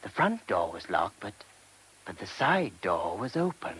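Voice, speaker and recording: An actor playing an earnest, careful monk with a husky voice, giving testimony in a radio play. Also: male voice, lowish voice, big pitch swings, unhurried, a bit bright, full character voice. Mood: earnest, uneasy. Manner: hesitant, careful.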